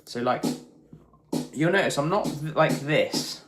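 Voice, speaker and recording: A man's voice: fairly high pitch, measured pace. A British man teaching guitar casually on a live stream, thinking aloud in short, informal bursts. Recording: roomy echo with guitar playing.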